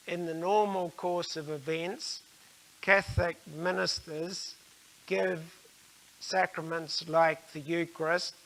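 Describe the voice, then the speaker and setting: An older man giving an explanatory religious talk in a measured, halting way, with frequent pauses mid-sentence. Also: on the high side, fairly bright tone, low-quality recording.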